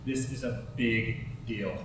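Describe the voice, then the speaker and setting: An actor playing a brisk, businesslike newspaper editor, giving instructions in a naturalistic way. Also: male voice, medium pitch, close to an everyday voice. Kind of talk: naturalistic film acting. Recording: very echoey, distant microphone, faint noise.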